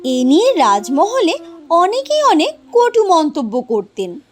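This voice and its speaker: A woman narrates a scripted fairy tale in a high, very lively voice. She goes slowly, line by line. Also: soft background music.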